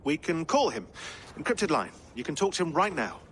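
A man's voice: a little high, very animated, normal speed, a bit bright, slight background noise. An actor playing a dry, pedantic man historian who talks in a clipped, matter-of-fact way, in a video game cutscene.

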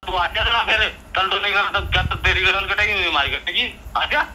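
A man's voice: high-pitched, a little fast. A man with a Rajasthani accent arguing heatedly on the phone, insistent, repetitive and threatening. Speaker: retired soldier on a phone call. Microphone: telephone. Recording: noisy phone call recording.

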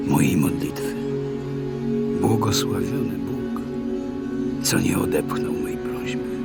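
Slow, expressive man reciting over loud music. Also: deep voice, gravelly. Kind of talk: dramatic, prayerful psalm recitation.

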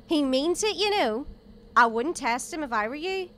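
A woman's voice: high voice, expressive, normal speed, sharp tone, slight background noise. An actress playing a feisty young female companion in an audio drama. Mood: cheeky.

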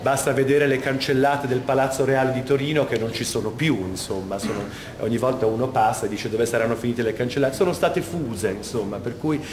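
A man's voice: medium pitch, brisk. Echoey middle-aged man, guiding visitors. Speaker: exhibition curator giving a guided tour. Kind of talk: guided art talk to visitors. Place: large vaulted museum gallery, indoors. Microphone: camera microphone a few steps away. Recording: echoey gallery hall, clear background noise.

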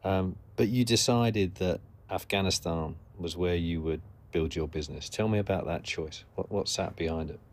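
A man hosting a podcast, asking measured, probing interview questions. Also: low voice.

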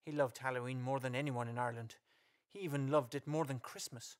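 A male storyteller reads a scripted radio play narration. His voice sits at an average pitch. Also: steady tone, a little fast.

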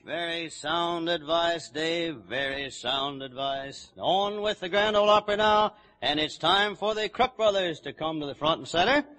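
Man, high-pitched voice, 1940s radio host, folksy, hearty announcing, old broadcast recording. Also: unhurried, few pauses, sharp tone, no room sound. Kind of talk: 1940s live radio emcee.

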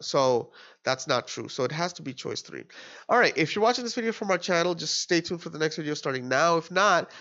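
A young man walks through a test question at his desk in a loose, thinking-out-loud way, restarting his sentences. His voice sits a bit high and sounds slightly nasal and stuffy from allergies. The recording is somewhat dull and lo-fi. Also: lively intonation, brisk. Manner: casual, thinks aloud with restarts and fillers. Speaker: tutor. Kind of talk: screen-recorded exam walkthrough.

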